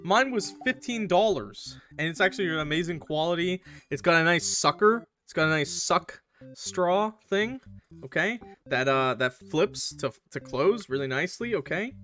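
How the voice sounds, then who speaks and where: Animated, bright-voiced man livestreaming a game. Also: a little high, normal speed, soft background music. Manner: excitable, playfully combative, swearing banter with viewers. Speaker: live streamer playing a game. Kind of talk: animated casual livestream chat and game commentary.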